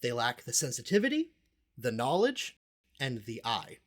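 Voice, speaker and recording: An actor playing a self-important cult expert and investigator in an audio drama, speaking in a pompous, dramatic way with deliberate pauses. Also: mid-range voice, huge pitch swings. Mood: smug, theatrical. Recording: music playing under the voice.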